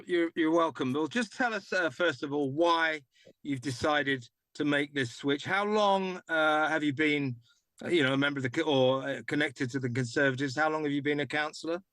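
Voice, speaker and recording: An older man hosting a TV talk show, interviewing a guest in a halting way full of fillers. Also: on the high side, a bit bright, no room sound. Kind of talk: studio interview.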